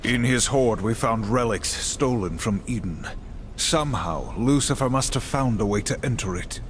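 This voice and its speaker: A man's voice: mid-range voice, animated, moderate pace, slight background noise, natural voice. A man playing a stern, dutiful, brooding warrior in a game cutscene, grave and formal. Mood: pensive. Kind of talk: video game cutscene acting.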